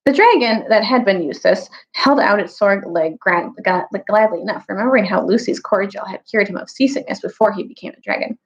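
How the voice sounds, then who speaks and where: A woman storyteller giving an expressive read-aloud of a children's fantasy novel. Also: mid-range voice, fairly quick, hardly any pauses. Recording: dull, lo-fi audio.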